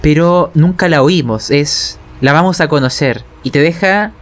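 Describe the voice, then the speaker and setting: A man's voice: a little high, a little slow. A man with a Chilean accent in a casual, opinionated chat, speaking informally with swearing and frequent check-in tags. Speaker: podcast speaker, game narrator. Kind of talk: casual opinionated chat about role-playing games.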